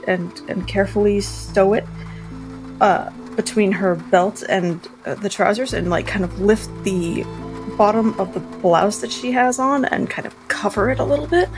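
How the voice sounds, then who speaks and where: Mid-pitched woman describing her game character. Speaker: player. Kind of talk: casual tabletop roleplay narration.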